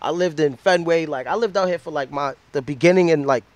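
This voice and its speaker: A man's voice: a little high, a little fast, no echo. A man, a musician guest chatting reflectively in a studio interview on a handheld mic. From Senegal. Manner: relaxed, reflective, many "you know what I mean". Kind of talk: casual interview chat. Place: indoor studio set with couches.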